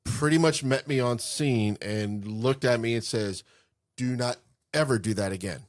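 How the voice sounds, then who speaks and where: A man's voice: mid-range voice, moderate pace. A man telling a story casually on a podcast, rambling and trailing off partway through sentences.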